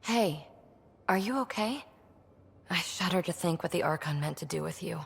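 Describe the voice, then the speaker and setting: Game explorer: bold yet caring woman, slightly deep, expressive, heard with a reverberant tail. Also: moderate pace, creaky, husky, full character voice. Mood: concerned. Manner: informal, earnest, expressive. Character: daring, caring woman scientist-explorer, excitable about ancient technology. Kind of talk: video game voice acting.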